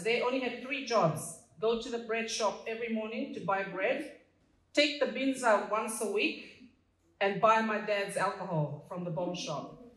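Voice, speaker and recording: A woman from Fiji in her fifties speaks at a stand mic on a small stage, telling her story with playful asides and laughter. Her middle-pitched voice carries a roomy hall echo. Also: fairly bright tone. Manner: humorous, laughs at her own jokes. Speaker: community association president. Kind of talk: personal speech read from notes. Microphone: stand mic, filmed from a distance.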